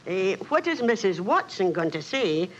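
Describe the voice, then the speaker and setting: A motherly housekeeper played by a woman talks with very lively, swooping intonation. She is fussing, kindly and deferential, in 1940s radio acting style, on a muffled recording with some hiss. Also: mid-range voice, moderate pace, natural voice. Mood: fussing, amused.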